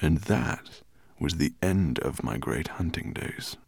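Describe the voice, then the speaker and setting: A man's voice: extremely low pitch, lively intonation, slow, creaky, breathy edge, dry recording, studio quality, heavily acted. A man voicing a cruel, imperious dragon master in a storybook, with a menacing, scornful growl. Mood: brooding, scheming.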